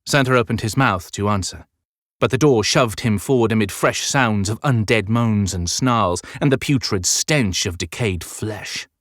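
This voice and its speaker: A man's voice: lowish voice, moderate pace, a bit bright, no room sound, clean studio sound. A man reading dramatic scripted narration as an audiobook narrator. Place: dry recording studio.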